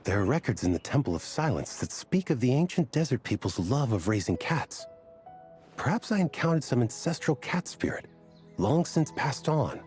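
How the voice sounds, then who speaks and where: A man's voice: mid-range voice, very animated, normal speed, darkish tone, soft background music, lightly acted. A man voicing a rambling, absent-minded scholar of desert lore in a video game, earnest and hesitant. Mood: musing.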